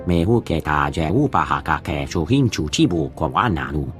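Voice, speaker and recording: A man voicing a gruff alien who speaks a guttural invented tongue in a video game. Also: very deep voice, animated, normal speed. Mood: stern. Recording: music behind the voice.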